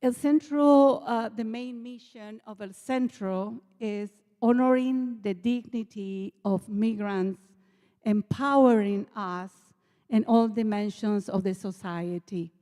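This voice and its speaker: A middle-aged Bolivian woman speaks in careful, halting English with a clear Spanish accent. Her voice is mellow and soft-edged. The large church hall around her rings with a long reverb. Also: a little high. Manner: earnest, halting non-native English. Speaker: nonprofit executive director. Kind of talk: speech to a church assembly.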